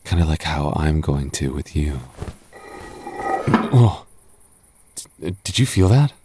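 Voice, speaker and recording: Deep-voiced man, teasing boyfriend roleplay. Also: expressive, slow, dark tone, a little hiss or hum.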